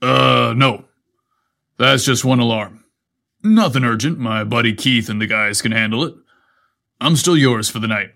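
A man's voice: medium pitch, unhurried, pauses often, pushed and bright. Man playing a playful, flirty fireman captain. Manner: playful, smooth-talking. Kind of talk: acted dialogue in narrated story.

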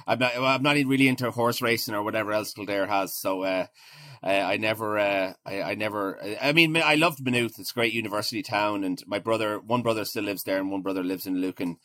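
An Irish man in his forties talking casually as an interview guest, halting and often repeating his words. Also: on the low side, steady tone, pushed and bright, dry recording, studio quality. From Ireland. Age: 40s.

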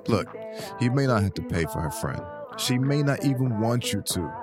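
A man's voice: medium pitch, expressive, moderate pace, music in the background, lightly acted. A man playing a brash, teasing friend in a casual audio drama, blunt and slangy, giving advice over a game of pool. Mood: blunt, advising.

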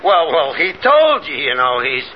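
An actor playing a scheming, wisecracking tavern manager who stammers and bluffs in a 1950s radio comedy. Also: male voice, fairly high pitch, huge pitch swings, unhurried. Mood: bluffing. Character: scheming, wisecracking male tavern manager posing as a proud dad. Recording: muffled, noisy 1950s radio recording.

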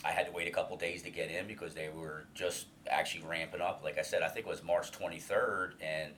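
A man's voice: low voice, steady tone, a little fast, a little hiss or hum. A man in his fifties, a guest in an interview, speaking openly and earnestly about his past with many restarts and fillers. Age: 50s. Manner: loud voice. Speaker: alumni coordinator, guest.

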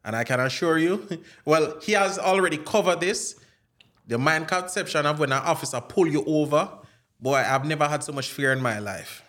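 Jamaican man, higher-than-average pitch, casual animated storyteller who slips into patois. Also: normal speed. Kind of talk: casual chat with anecdotes.

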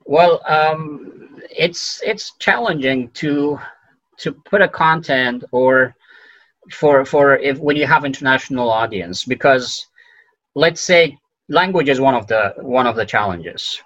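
A man whose first language is Farsi, speaking as a physician guest in an interview, halting and full of fillers and restarts. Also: medium pitch, fairly bright tone, no room sound, dull audio. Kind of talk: conversational interview.

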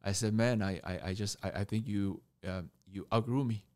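A male interview guest with a fairly low voice tells stories in a conversational way. His delivery is halting, and he repeats words as he builds each sentence. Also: steady tone, a little mellow. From born in Taiwan, grew up in Argentina and Canada. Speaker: mentor and coach, podcast guest.

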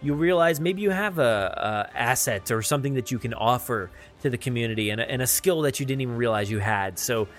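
A man hosting a podcast solo in a casual, rambling and halting way, self-effacing and full of appreciation and enthusiasm. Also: medium pitch, fairly bright tone. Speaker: podcast host.

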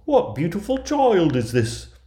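A small, kindly, fussy dwarf from a storybook , acted out by a man. The voice is pitched well up and speaks in emphatic exclamations. The recording is roomy and echoing. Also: big pitch swings, measured pace, mellow. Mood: astonished. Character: small male dwarves of the mines, fussy, astonished, kindly. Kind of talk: fairy tale character voices.

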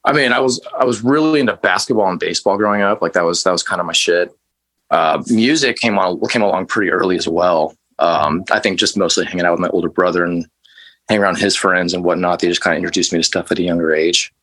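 A low-voiced man from West Texas, talking as a guest in a casual interview. He tells his stories loosely and easily, full of "like" and "you know", with a bit of casual swearing. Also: quick pace, fairly bright tone. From West Texas, USA. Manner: laid-back, loose storytelling with fillers and mild swearing. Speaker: interview guest, band musician.

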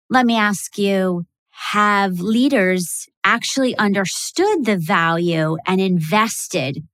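Slow-talking woman podcast host. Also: mid-range voice, no room sound. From United States. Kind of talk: interview.

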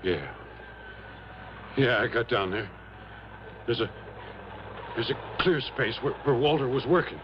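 A male actor plays a shaken, rustic gold miner in a vintage radio drama. His speech is halting and repetitive, with folksy grammar and big swings of pitch. The sound is muffled, with faint noise. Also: expressive, close to an everyday voice. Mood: shaken, dazed. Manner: halting, repeats himself, folksy uneducated grammar. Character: distraught rustic gold miner who found his partner murdered. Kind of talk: 1950s-60s radio drama acting.

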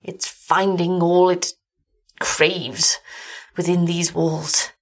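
An older gentleman acted by a woman reader speaks in formal, melodramatic phrases, weary and full of despair. The voice is somewhat husky, in the manner of a gothic storybook. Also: sits high, level tone, very slow, exaggerated voice. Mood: weary, bleak. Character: anguished, despairing older gentleman, village husband haunted by horror.

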